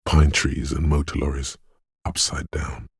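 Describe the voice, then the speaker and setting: Spooky dreamy gut-feeling voice for a video game, super deep and really husky, dry close sound, poetic and hushed. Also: male voice, level tone, a little slow, no room sound, exaggerated voice. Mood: eerie, wistful. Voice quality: husky, gravelly bass. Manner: hushed, ominous, poetic, lingering on short phrases. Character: eerie, dreamy inner voice of a detective's intuition. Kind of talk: atmospheric second-person video game narration.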